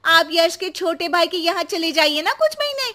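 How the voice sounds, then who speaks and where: A woman voicing a sharp-tongued, complaining young daughter-in-law in a cartoon family story. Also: extremely high pitch, big pitch swings, moderate pace, heavily acted. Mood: irritated, complaining. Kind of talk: dramatised Hindi moral cartoon story.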